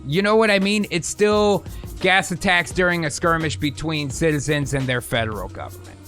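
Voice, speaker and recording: An American man hosting a casual livestream talk show, rambling and swearing freely as he thinks out loud. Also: a little high, moderate pace, faint music behind. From United States. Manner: rambling, profane, thinks aloud. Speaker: host.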